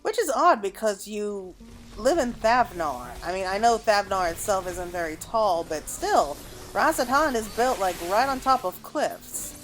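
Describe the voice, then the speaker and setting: Female gamer, mid-pitched, casual and chatty playthrough commentary with music behind her. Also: animated, a little slow, poor audio. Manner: chatty, reacts aloud to the game. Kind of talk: casual game commentary, reading some dialogue aloud.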